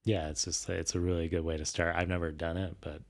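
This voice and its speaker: A man with a low voice chats casually as a livestream host. He sounds a little raspy and worn from being sick, and he thinks aloud in a hesitant, halting way. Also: little pitch movement. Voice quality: slightly raspy. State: voice tired after recent illness. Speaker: streamer host.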